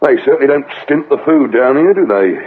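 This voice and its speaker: An actor playing a placid, dutiful police sergeant with a British accent, mild and deferential, in a radio drama. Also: male voice, medium pitch, expressive, a little fast, natural voice. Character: placid, food-loving male police sergeant, dutiful subordinate. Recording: tinny, band-limited radio recording, faint noise.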